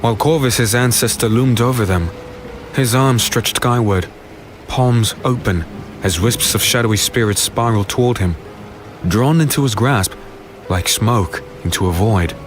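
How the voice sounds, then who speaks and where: A man acting as the brooding first-person narrator of a dark fantasy tale, solemn and descriptive. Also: on the low side, moderate pace, background noise, natural voice. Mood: ominous. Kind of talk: scripted dramatic narration.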